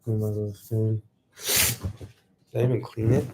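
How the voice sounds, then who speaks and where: A man's voice: lowish voice, moderate pace, background noise. Sniffly man rambling on a livestream. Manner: rambling, excitable, talks to viewers. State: sniffly from allergies. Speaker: YouTuber livestreaming. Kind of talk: casual livestream chat.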